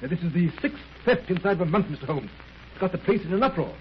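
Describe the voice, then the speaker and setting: Anxious senior English doctor, fretful, very quick, 1930s radio acting, dull vintage recording. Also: male voice, sits high, big pitch swings, a little hiss or hum. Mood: agitated. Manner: fretful, urgent, stammering. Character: anxious, flustered senior English hospital chief.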